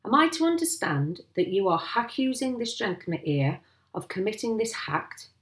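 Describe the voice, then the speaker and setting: A woman voicing a pompous, stern male village police sergeant in a storybook, ponderous and formal, with misplaced aitches and rough grammar. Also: mid-range voice, sing-song, normal speed, a bit bright, reverberant, voice pushed up. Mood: stern, measured.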